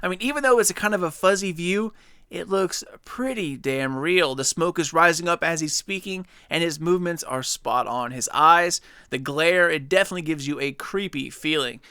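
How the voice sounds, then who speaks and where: A young man casually breaking down a video game trailer for his viewers, chatty and excited. His voice is bright, smooth and slightly high. The close mic sounds dry, with no room behind him. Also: moderate pace, no room sound. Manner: enthusiastic, chatty, speculative. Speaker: gaming channel host.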